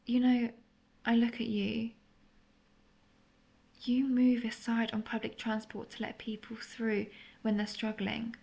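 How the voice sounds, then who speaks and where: Soft, creaky woman as a melancholy vampire lover. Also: a little high, monotone, slow pace. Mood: fond, teasing. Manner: soft, confessional, partly whispered. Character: tender, melancholy centuries-old female vampire lover. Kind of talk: intimate scripted audio roleplay monologue.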